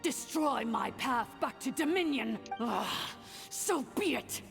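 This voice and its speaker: An actress playing a vengeful ancient machine ruler in a video game, speaking in a grandiose, scornful, theatrical way. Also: female voice, high-pitched, expressive, slow, vocal fry, full character voice. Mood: wrathful, doomed. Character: vengeful ancient machine sovereign, female, imperious and doomed. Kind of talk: dramatic video game acting. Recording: loud game music behind. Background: dramatic music.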